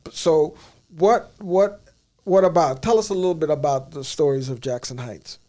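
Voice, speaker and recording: A man's voice: fairly high pitch, moderate pace, mellow. A man co-hosting a studio talk show, asking questions with many hesitant fillers. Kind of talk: casual studio interview.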